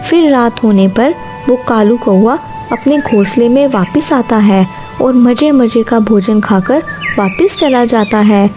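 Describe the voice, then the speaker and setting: A woman's voice: on the high side, takes it slowly, hardly any pauses, warm tone, faint music behind. A woman narrating a scripted children's cartoon story.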